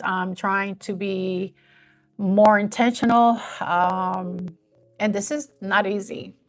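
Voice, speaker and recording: Woman in her fifties, gravelly, earnest, rambling live-stream chat. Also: mid-range voice, a bit bright. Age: 50s.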